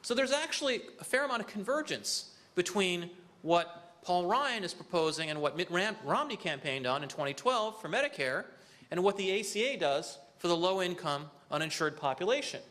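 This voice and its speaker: A man's voice: high-pitched, animated, fairly bright tone. A man presenting a policy proposal at a briefing, explaining points step by step and answering his own questions, with a few restarts. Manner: explanatory, poses questions then answers them; occasional restarts and filler pauses. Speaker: policy speaker on a briefing panel. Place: briefing room.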